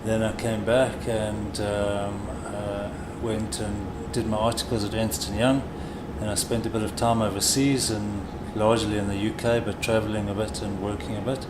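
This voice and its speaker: Low-voiced middle-aged South African man. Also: level tone, normal speed, hardly any pauses, heavy background noise. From South Africa, grew up in Durban. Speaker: interview guest, corporate chief executive. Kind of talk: conversational interview answers.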